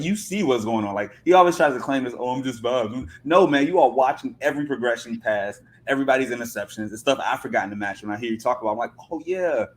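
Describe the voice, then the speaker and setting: A man's voice: medium pitch, a little hiss or hum. A man chatting casually as a podcast guest, talkative and explaining things at length.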